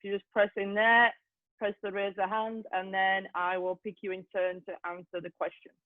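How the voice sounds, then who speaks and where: A woman's voice: mid-range voice, flat delivery, moderate pace, no echo. A woman coach with an English accent hosting a video call Q&A, chatty and often saying "okay". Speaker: youth soccer coach, host. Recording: tinny, dry video call audio.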